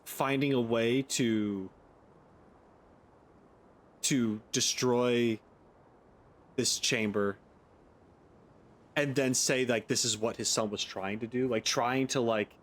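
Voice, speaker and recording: A man's voice: medium pitch, fairly even intonation, takes it slowly, long pauses, a little hiss or hum. A man hosting a gaming video, giving casual playthrough commentary and rambling as he thinks aloud.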